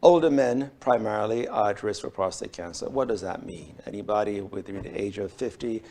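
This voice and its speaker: A middle-aged man from Switzerland, a urologist guest on a TV health show, speaking in a measured, formal way with frequent fillers. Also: lowish voice, mellow. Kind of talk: TV health interview. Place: bright TV studio set.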